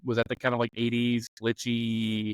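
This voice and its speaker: Clean, smooth-voiced man chatting casually. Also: medium pitch, completely flat delivery, normal speed, no room sound, poor audio. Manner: loose, informal, thinking aloud. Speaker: discussion panelist on a game master advice show. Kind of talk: casual chat.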